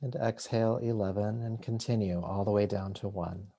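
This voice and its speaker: A man leading a guided meditation as a yoga nidra teacher, soothing and unhurried, leaving long pauses between short phrases. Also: on the low side, fairly even intonation, vocal fry, warm tone.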